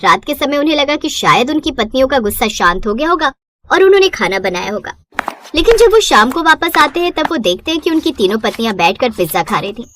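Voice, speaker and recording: A female narrator tells a scripted bedtime tale. Her voice sits high, and her intonation moves in lively, wide swings. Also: quick pace, slight background noise.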